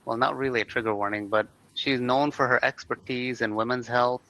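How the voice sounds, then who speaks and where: Bright-voiced male podcast host. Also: mid-range voice, steady tone. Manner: measured, formal introductions. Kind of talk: podcast hosting, reading introductions. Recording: dry, dull lo-fi mic.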